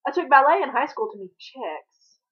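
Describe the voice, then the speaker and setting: A woman reading the part of a playful, pushy man who teases and persists in an animated, insistent way. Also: sits high, normal speed, slightly rough, lo-fi sound, voice pushed up, heavily acted. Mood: teasing. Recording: thin, band-limited home recording.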